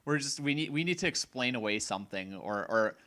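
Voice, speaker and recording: A man co-hosting a casual gaming podcast, opinionated and rambling, a little hoarse, often restarting his sentences. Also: mid-range voice, animated, brisk, sharp tone. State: slightly hoarse. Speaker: podcast co-host.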